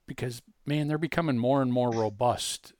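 A man's voice: medium pitch, crowd noise. A man hosting a podcast in a conversational demo style, emphatic and often restarting his words. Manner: emphatic, halting with repeated word starts. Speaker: podcast host.

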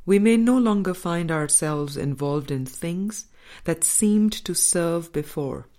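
A woman reading a spiritual book as scripted narration, in a measured, deliberate manner with frequent pauses. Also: on the low side, animated, warm tone. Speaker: audiobook reader.

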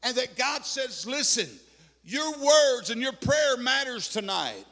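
A man preaching a fervent sermon to his congregation, his voice pitched very high and full of gravelly fry. He stammers, repeats himself and slips into sing-song cadences as he drives each point home. The sound rings with the long reverb of a church hall. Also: sing-song, takes it slowly, bright, forceful tone.

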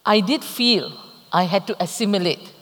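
A woman's voice: mid-range voice, moderate pace, fairly bright tone. Malaysian woman speaking in a reverberant hall. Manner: candid, reflective, with playful asides. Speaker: panel speaker. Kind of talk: live panel discussion. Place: conference hall, on stage.

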